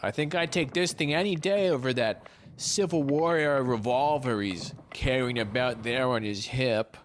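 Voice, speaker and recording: A man's voice: mid-range voice, moderate pace, slight background noise, natural voice. A man playing a cocky, laid-back shipmate in an audio drama, casual and flippant.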